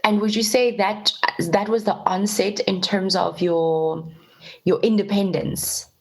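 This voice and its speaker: A woman's voice: mid-range voice, fairly even intonation, unhurried, husky. A woman hosting an interview, asking long, encouraging questions in a conversational way. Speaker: host.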